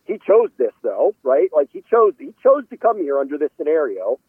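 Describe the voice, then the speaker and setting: A man giving conversational football analysis as a guest, measured and opinionated, qualifying his points as he goes. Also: fairly high pitch, sing-song, breathy edge, no room sound. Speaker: sports analyst interviewed as a guest. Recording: phone-like radio call audio.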